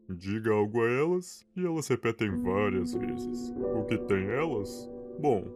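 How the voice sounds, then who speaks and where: A man voicing a laid-back, enigmatic skeleton in a game story, talking casually in a measured, confiding way. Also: lowish voice. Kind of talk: dramatic character voicing for a story. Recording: prominent guitar music behind the voice.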